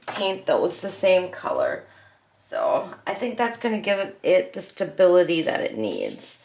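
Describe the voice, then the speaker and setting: A woman hosting a home crafting tutorial, her voice raspy as she gets over a cold. She rambles casually, with frequent ums and self-corrections as she works. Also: medium pitch, noisy background. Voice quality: slightly raspy. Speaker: craft channel host. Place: home craft table in the evening.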